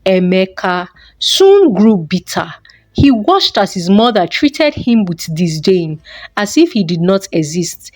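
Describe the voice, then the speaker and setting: A woman's voice: mid-range voice, big pitch swings, takes it slowly, a little mellow, no echo. A woman reading a scripted folktale as a storyteller.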